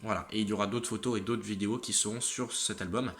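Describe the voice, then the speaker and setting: A young man in his late teens talking to viewers in a casual vlog. He is exhausted and a little stuffed up from a cold, so his speech is halting, often trailing off and starting over. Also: on the low side, fairly even intonation, fairly bright tone. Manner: halting, trailing off and restarting sentences. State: exhausted and slightly congested. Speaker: motorcycle channel host.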